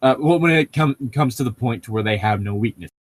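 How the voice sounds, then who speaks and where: A man with an average-pitched voice chats casually as a podcast co-host. He rambles and digresses, tossing in profanity as he comments on what he reads. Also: a little fast, no room sound. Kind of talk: casual chat and commentary while reading a novel aloud.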